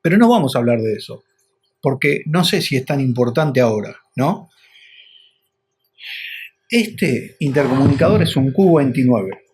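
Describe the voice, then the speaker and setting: Argentine man with a slightly rough voice. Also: medium pitch, expressive, measured pace, pauses often, darkish tone. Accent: Argentine Rioplatense. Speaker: motorcycle vlogger. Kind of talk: casual explainer vlog.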